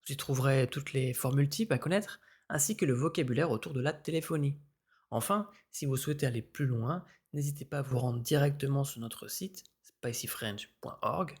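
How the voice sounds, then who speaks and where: Mellow man narrating a language lesson. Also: medium pitch, moderate pace. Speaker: French teacher hosting a language lesson. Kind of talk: scripted instructional narration.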